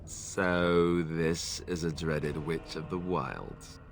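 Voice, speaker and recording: A man's voice: very deep voice, fairly even intonation, extremely slow, slight background noise, full character voice. A man playing a suspicious, wry young warrior in a video game, guarded and sarcastic. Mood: wary.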